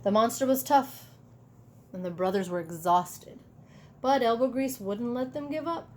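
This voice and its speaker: Lady teacher reading a kids book out loud, slow and animated, bit of noise behind her. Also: fairly high pitch. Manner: animated, pausing for asides to children. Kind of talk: expressive children's story read-aloud.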